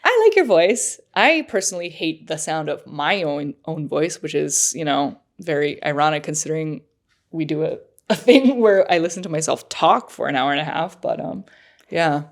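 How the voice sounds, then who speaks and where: A woman's voice: low-pitched, expressive, normal speed. Woman, podcast co-host, slightly nasal, rambling casual delivery. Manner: chatty, lots of fillers and likes. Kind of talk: casual chat.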